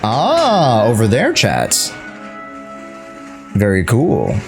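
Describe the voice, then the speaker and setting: Animated guy streaming a game. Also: fairly low pitch, very slow, creaky. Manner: slangy, reacting out loud. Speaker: livestreaming gamer. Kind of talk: casual gameplay commentary to a live chat. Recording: game music behind the voice. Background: video game music.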